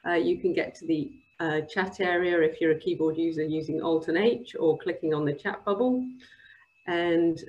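A woman with a slightly low voice hosts an online webinar. She introduces things informally, speaking over a video call. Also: moderate pace, darkish tone, dull audio, a little hiss or hum. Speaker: webinar host.

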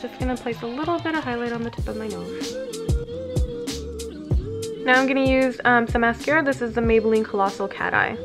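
Young woman, a little nasal from a cold, casual at-home beauty chat, higher voice, music bed. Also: lively intonation, fairly quick, long pauses. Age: young adult. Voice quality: slightly nasal. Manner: chatty, walks through each step. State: congested from a cold. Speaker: beauty vlogger. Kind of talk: casual makeup tutorial talk. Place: living room at home.

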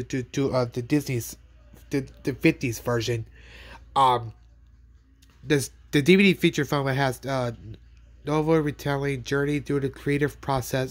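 Guy with a cold showing off dvds in his bedroom, phone mic, keeps stumbling and repeating himself. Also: mid-range voice. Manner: halting, repeats words and restarts often. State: has a cold. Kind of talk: casual unboxing vlog. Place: bedroom, indoors. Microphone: handheld phone pointed at bed.

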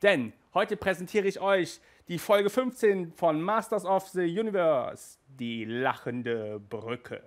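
A man in his thirties hosting a casual video review of an audio drama, lively and gesturing, with frequent stumbles and restarts, hot and flustered by the heat, recorded in a small room on a headset mic. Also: high voice, takes it slowly, few pauses. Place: small indoor room with toy shelves.

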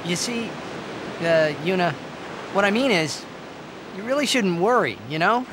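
An eager, restless young American hero from a video game. His boyish, earnest delivery swings widely in pitch. A clear surf-like rumble runs behind him. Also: unhurried. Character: eager, naive, restless teenage boy hero. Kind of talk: video game cutscene voice acting. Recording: game audio over steady surf-like rumble.

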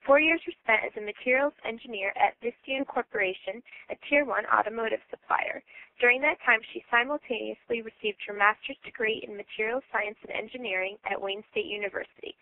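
A woman's voice: mid-range voice, slight background noise. A woman hosting a webinar, reading a formal scripted welcome and introduction of the speaker. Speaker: webinar moderator. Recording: tinny phone line.

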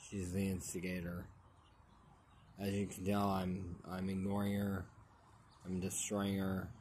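Man, low monotone, selfie vlog outdoors, repetitive halting delivery. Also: noisy background. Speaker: vlogger. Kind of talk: rambling selfie plea to camera. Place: outdoors under a tree. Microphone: phone held at arm's length.

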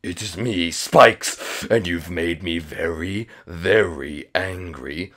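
A woman voicing a menacing, hulking avenger in a cartoon roleplay, deliberate and ominous. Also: a bass voice, exaggerated voice.

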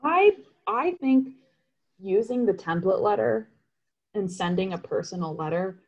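A woman presenting informally in an online meeting, speaking haltingly with repeated words. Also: mid-range voice, expressive, highs cut off. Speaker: advocacy coordinator leading a meeting. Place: indoors on a group video call. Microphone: computer video call.

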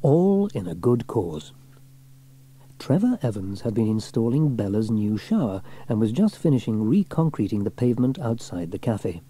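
A man narrating a scripted children's story in an expressive storytelling manner. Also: lowish voice, normal speed, slightly rough. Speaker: audiobook narrator.

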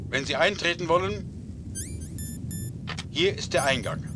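A man plays a calm commanding officer who briefs his agent in a measured, instructive way. His voice sits somewhat high for a man, with clear noise running underneath. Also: natural voice. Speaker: mission commander. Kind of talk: German game dub. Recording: game audio with background noise.

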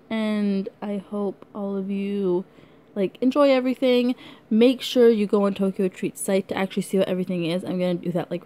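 Hoarse young woman vlogging. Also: mid-range voice, mellow. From Canada. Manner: rambling, giggly, lots of likes and ums. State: hoarse, losing her voice. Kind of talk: casual unboxing chat.

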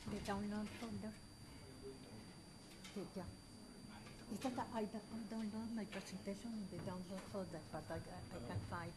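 A woman's voice: mid-range voice, steady tone, normal speed, long pauses, background noise. A middle-aged woman, speaking hesitantly at a conference panel table. She searches for her words and often restarts her sentences. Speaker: conference presenter. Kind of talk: setting up slides, unscripted. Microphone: table microphone.

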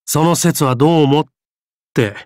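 A man playing an affable, gentle gentleman adventurer in a game, speaking politely and breezily. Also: a little high, unhurried, no room sound, clean studio sound, natural voice. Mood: easygoing. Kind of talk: game character voice lines.

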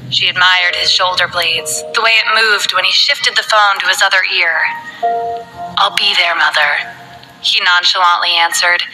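A woman narrating a romance novel in a smooth, even reading voice, over faint music. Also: mid-range voice, rough recording. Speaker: audiobook narrator.

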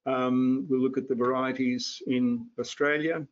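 An older man moderating a panel on a video call, speaking haltingly with many fillers. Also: mid-range voice, level tone, dull audio.

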